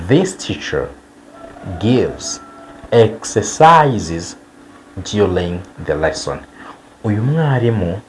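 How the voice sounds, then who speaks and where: Man teaching slowly with lively pitch. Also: slight background noise, rough recording. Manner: slow, drawn-out model sentences repeated for learners. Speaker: English teacher. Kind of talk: bilingual language lesson.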